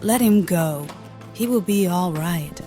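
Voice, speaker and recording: A woman's voice: on the low side, expressive, slow, music in the background. A woman playing a concerned, motherly villager in a medieval audio drama. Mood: firm, reassuring.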